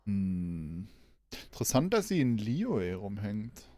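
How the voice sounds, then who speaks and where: A man's voice: fairly low pitch, big pitch swings, takes it slowly, warm tone, no room sound. A man streaming a video game, giving casual muttered commentary and short reactions as he plays. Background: game music and game dialogue.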